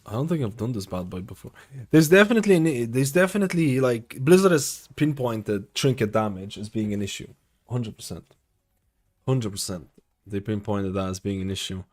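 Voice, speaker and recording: A man's voice: mid-range voice, expressive, moderate pace. A man gives casual, opinionated live gaming commentary as a streamer, swearing offhandedly. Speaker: game streamer.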